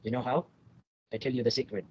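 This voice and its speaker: Male language student on a video call, halting and filler-heavy, mid pitch, dull call audio with faint noise. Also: steady tone. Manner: halting, many fillers and restarts. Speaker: language learner. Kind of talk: casual online tutoring chat.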